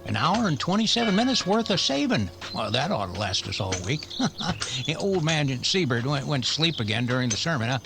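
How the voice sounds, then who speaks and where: An actor playing a folksy, fussy old town doctor who stammers and gossips wryly in a radio western. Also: male voice, medium pitch, very animated, a little fast, creaky, music in the background. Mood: chatty, wry. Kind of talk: old-time radio western drama acting.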